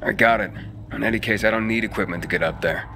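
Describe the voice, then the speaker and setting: A man's voice: fairly low pitch, quick pace, bright, forceful tone, slight background noise. A male actor playing a terse, self-assured young drifter in a video game cutscene. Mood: dry, determined. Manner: terse, casual.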